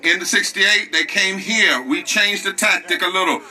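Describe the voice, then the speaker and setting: A man's voice: sits high, normal speed, sharp tone, low-quality recording. Rousing preacher-voiced man at a rally. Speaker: rally leader. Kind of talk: preaching protest speech.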